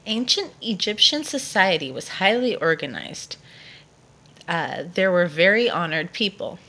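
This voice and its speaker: A woman reading a children's nonfiction book aloud to a child in a measured storytelling delivery. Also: fairly low pitch, lively intonation, bright, forceful tone.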